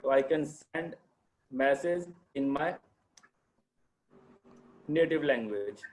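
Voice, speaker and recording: A man's voice: mid-range voice, animated, slow, rough recording. A man with an Indian English accent giving a guided demonstration of a web platform, speaking deferentially to the host. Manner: deferential, calls the host sir.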